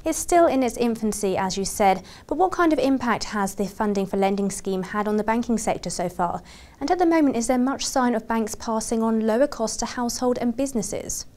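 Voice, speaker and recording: A woman's voice: a little high, expressive, fairly quick, a little mellow. A woman working as a broadcast journalist, putting scripted interview questions in a formal, polished presenter's delivery.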